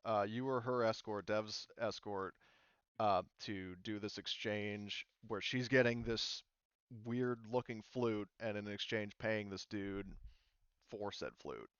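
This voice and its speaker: Male game master, halting narration with self-corrections, resonant tone, dry and slightly muffled recording. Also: fairly low pitch, fairly bright tone, clean tone, dry recording. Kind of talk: narrating a tabletop roleplaying game.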